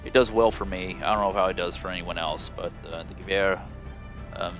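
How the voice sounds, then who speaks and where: A man with a very low voice talks through a video game in a loose, meandering way, full of ums and restarts. He sounds a little stuffed up and nasal from a cold, and faint music plays quietly behind him. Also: fairly even intonation. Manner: rambling, unhurried, many ums and restarts. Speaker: gaming video host. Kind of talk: casual gameplay commentary.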